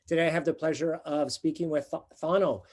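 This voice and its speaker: A man's voice: on the high side, fairly even intonation, fairly quick, a little mellow. Friendly man hosting an interview. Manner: friendly, encouraging, laughs along. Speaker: business coach, interview host.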